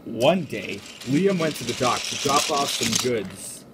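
A man's voice: medium pitch, expressive, a little slow, heavy background noise. A man playing the storybook narrator of a children's cartoon parody, telling the tale in a scripted style. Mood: measured.

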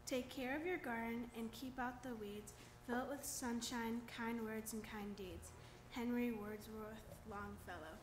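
A woman's voice: on the high side, fairly even intonation, unhurried, noisy background. A young woman reading a series of quotations at a church lectern, naming each author after. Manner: reads quotes then names the author. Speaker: reader. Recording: echoey church room.

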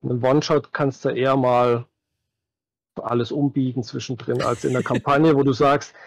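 A man's voice: mid-range voice, steady tone, normal speed, vocal fry. A man in his forties giving casual interview answers as a game master, thinking aloud and repeating words as he searches for them. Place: indoors at home, video call. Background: background music.

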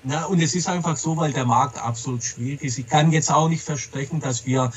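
Middle aged guy in an interview, bit husky, candid, keeps correcting himself as he talks. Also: fairly high pitch, a little fast. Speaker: comic publisher being interviewed. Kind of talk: casual interview talk about a trade.

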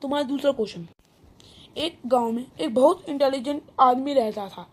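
A woman's voice: high-pitched, moderate pace, breathy edge. A woman voicing an interviewer who poses riddles in a children's cartoon quiz. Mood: quizzing, composed. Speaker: quiz host.

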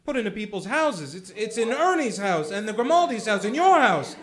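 A man's voice: high voice, very animated, brisk, a bit bright. A man playing an earnest young loan-office manager pleading with a panicked crowd in a community radio play.

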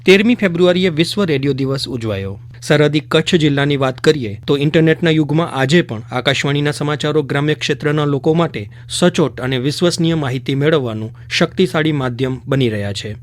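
Male radio newsreader, slightly high voice. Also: a little fast, few pauses. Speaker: radio news presenter. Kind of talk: scripted news narration.

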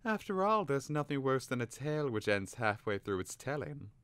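A man's voice: medium pitch, big pitch swings, normal speed, fairly bright tone, clean tone, no echo, pitched above the performer's own voice. A man voicing an eager young boy hero in a storybook. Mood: earnest.

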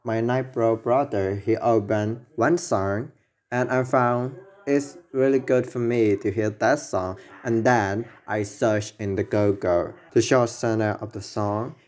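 Slow-talking young man with a Mon accent. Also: lowish voice, a little mellow. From Myanmar. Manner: simple learner's English, list-like delivery. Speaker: student presenter. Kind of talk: slide presentation.

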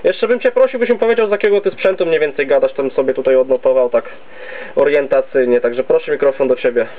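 A man's voice: mid-range voice, fast. A man chatting in a rambling, casual way as an amateur radio operator, heard through narrow CB radio audio. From Poland. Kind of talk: casual radio contact chat. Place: home base station.

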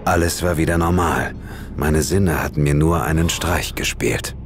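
An actor playing an investigator who narrates his eerie case in a measured, suspenseful horror audio drama style. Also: rumbling low, background noise. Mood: composed suspense. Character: male investigator recounting his eerie case, tense and composed. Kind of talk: scripted first-person horror narration. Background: music.

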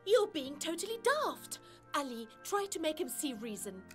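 A woman's voice: high voice, very animated, normal speed, a bit bright, faint music behind. A woman voicing a brainy, prim, bossy young adventurer in a cartoon, with precise, formal wording. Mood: exasperated.

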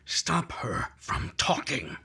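A man playing a cold, scheming killer in an old-time radio mystery, speaking with slow, low menace. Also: bright, forceful tone. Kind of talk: 1950s-style radio drama acting.

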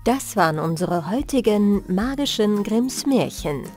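A woman storyteller reading scripted bedtime fairy tales with expressive, careful phrasing. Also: medium pitch, measured pace, soft background music. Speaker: storyteller, audiobook reader.

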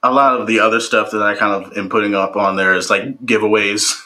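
A man's voice: fairly low pitch, steady tone, fairly quick, bright, forceful tone. A young man, a guest photographer on a video call, chatting casually and laughing often as he teases his hosts. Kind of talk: casual interview chat. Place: home room, video call.

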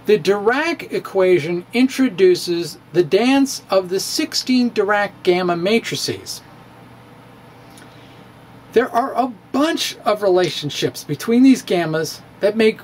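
A man's voice: high-pitched, slight background noise. A middle-aged man giving a comic dramatic reading of a science blog, wry and playful, laughing at his own jokes, recorded indoors on a close handheld mic. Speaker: science blogger. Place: small room with bookshelves, indoors. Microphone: handheld mic on stand, close.

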